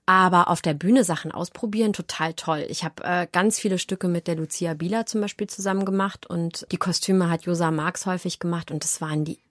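A young woman, an actress, talking as an interview guest in a casual, animated and cheeky storytelling way. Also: lowish voice, a little fast, hardly any pauses, dry recording, studio quality.

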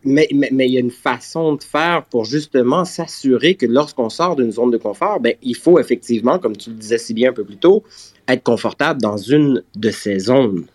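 A man from Canada with a Quebec French accent, speaking as a guest in a panel discussion in a measured, explanatory manner. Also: mid-range voice, darkish tone.

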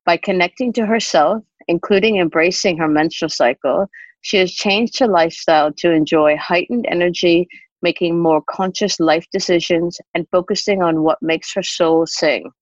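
A woman podcast host introducing a guest in a scripted, even delivery. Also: lowish voice, normal speed, no room sound, dull audio.

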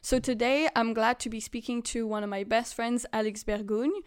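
A woman's voice: a little high, fairly even intonation, moderate pace, clean tone. A woman hosting a podcast and asking questions in a conversational interview style.

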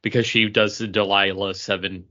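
A man's voice: lowish voice, little pitch movement, normal speed, bright, forceful tone, dull audio. A man chatting casually and emphatically as a podcast co-host, giving his opinions in a panel ranking.

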